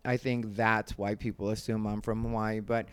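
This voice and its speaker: A man's voice: lowish voice, little pitch movement, normal speed, smooth, no echo. An American man from Arizona talking in a casual, rambling and conversational vlog style, with emphatic moments. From Arizona, United States. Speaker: comedian and show host. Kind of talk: casual solo vlog chat.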